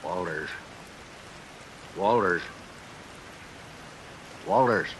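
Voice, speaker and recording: A man's voice: low voice, drawn-out words. An actor playing a weary, fatherly sheriff with an American accent, needling in a folksy, dry way in an old radio drama. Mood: quiet concern. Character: weary, wry small-town sheriff, fatherly but needling. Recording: hissy old radio recording with rain.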